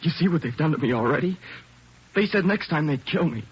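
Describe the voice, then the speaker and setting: A man's voice: fairly high pitch, expressive, a little fast, very noisy, close to an everyday voice. An actor playing a frightened, knifed teenage boy who pleads in a rising, panicky voice in a 1940s radio drama. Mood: pleading, panicked. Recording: muffled old radio broadcast.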